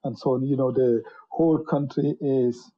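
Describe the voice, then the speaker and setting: A middle-aged man from Cameroon speaks with a husky, slightly breathy voice, telling his story in a measured, unhurried way and leaning on "you know" as he goes. He sounds like an academic guest on an interview show. The recording is dull and lo-fi. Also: medium pitch, steady tone. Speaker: guest, professor.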